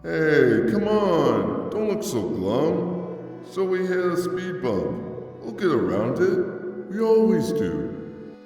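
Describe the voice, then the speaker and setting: A man's voice: a little high, huge pitch swings, darkish tone, heavily acted. A man voicing a laid-back skeleton lab intern in a comic dub, wry and casual in manner. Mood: encouraging. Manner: slow, casual, wry, hesitant drawl. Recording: echoey hall-like reverb, distant, faint music.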